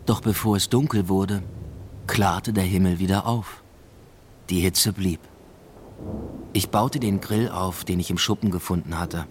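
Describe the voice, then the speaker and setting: A man's voice: low voice, steady tone, measured pace, pauses often, a little hiss or hum. An actor playing a subdued, evasive husband who narrates his unravelling marriage in a restrained, literary radio play style. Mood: restrained narrating.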